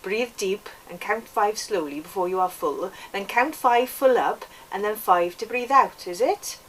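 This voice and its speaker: A woman voicing a big, stern but kindly Welsh preacher in a storybook, speaking slowly and instructively with a put-on Welsh accent. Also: mid-range voice, close to an everyday voice. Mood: gently instructing. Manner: slow, instructing. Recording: distant microphone, background noise.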